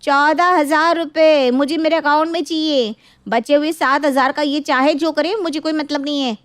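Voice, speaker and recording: Woman as greedy grown daughter, high voice, haughty, scolding, threatening, moral-story drama, dry recording. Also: fairly even intonation, moderate pace, no echo, close to an everyday voice. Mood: demanding.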